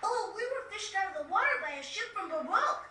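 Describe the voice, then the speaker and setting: Very high, bright young boy. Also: animated, quick pace, full character voice. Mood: chatty, boastful. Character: excitable, kind-hearted young boy in a fantasy adventure. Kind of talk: video game voice acting. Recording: echoey, distant game capture with noise.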